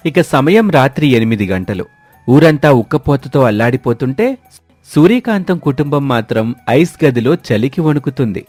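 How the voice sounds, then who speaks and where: A man's voice: medium pitch, expressive, moderate pace, darkish tone, no room sound, faint music behind. A man narrating a scripted cartoon story as its storyteller.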